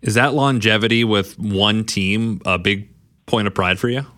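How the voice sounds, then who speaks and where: A male sports radio host with a fairly low voice, recorded dry and close in a studio. He asks brisk, friendly questions and keeps the conversation moving. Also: steady tone, fairly bright tone, no room sound.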